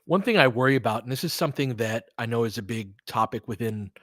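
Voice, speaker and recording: A man's voice: medium pitch, expressive, quick pace, fairly bright tone, no room sound. Man chatting casually about sports, very dry sound. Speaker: host of an audio play-by-play fan stream. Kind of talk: casual sports chat, answering listeners during play.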